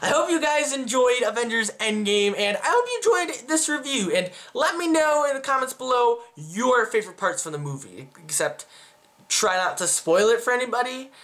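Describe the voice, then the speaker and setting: A man's voice: high-pitched, a bit bright. A young man hosting a casual, chatty movie review, talking expressively to camera in a small room. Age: young adult. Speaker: video host, movie reviewer. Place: small plain room indoors.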